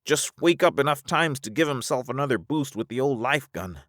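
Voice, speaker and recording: A man voicing a weary, philosophical spaceman in a science fiction audiobook, folksy and excitable as he reasons aloud. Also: mid-range voice, moderate pace, no echo, clean studio sound, voice pushed up, close to an everyday voice. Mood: weary musing. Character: high-voiced drawling spaceman, weary, resigned, philosophical castaway.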